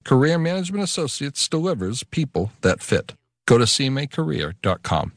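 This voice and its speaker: A man's voice: mid-range voice, sing-song, fairly quick. A man reading a persuasive radio advertisement for a staffing firm. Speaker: ad announcer. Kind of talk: radio ad read.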